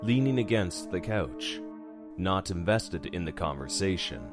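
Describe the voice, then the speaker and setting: A man's voice: sits low, lively intonation, measured pace. A man narrating a horror audio drama from a script. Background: music.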